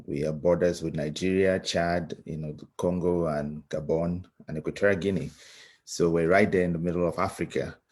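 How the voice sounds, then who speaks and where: A man with a Cameroonian accent speaks in a very low, husky voice. He talks reflectively as a guest speaker, earnest and thoughtful, often halting to find the next phrase. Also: steady tone, darkish tone. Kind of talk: reflective personal talk.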